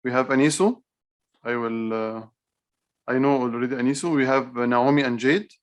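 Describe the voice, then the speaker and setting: A man's voice: mid-range voice, fairly even intonation. Hesitant male teacher on a video call. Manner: hesitant, halting, repetitive phrasing. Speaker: computer teacher for young children. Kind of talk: online class instruction.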